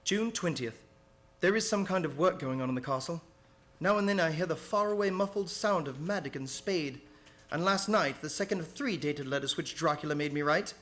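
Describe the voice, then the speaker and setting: A man acting an anxious gentleman held prisoner, speaking in earnest, formal, dramatic fashion in an old-time radio horror play. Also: a little high, moderate pace, music in the background, lightly acted. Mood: uneasy, grave. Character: anxious English gentleman, prisoner narrating his diary.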